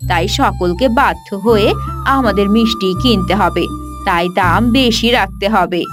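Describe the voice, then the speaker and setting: A woman's voice: medium pitch, normal speed, natural voice. A woman voicing a greedy, sneering village wife in a cartoon moral story. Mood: gloating. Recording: music clearly behind the voice.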